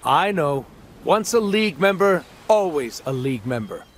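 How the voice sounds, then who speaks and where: A man's voice: on the high side, big pitch swings, normal speed, a little hiss or hum. A man playing an earnest, loyal superhero in a cartoon video game. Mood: warm, resolute.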